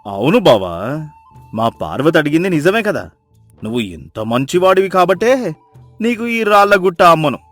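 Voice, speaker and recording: A crafty poor village husband from a moral tale, played by a man, talks theatrically. His voice is high for a man. It sounds dry, with soft music behind. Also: very animated, normal speed, long pauses, dry recording. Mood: sly, wry.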